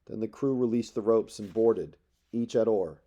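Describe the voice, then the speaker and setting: A man reading epic poetry aloud as a narrator, in measured, dramatic storytelling phrases. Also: on the low side, dark tone, highs cut off. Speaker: audiobook narrator.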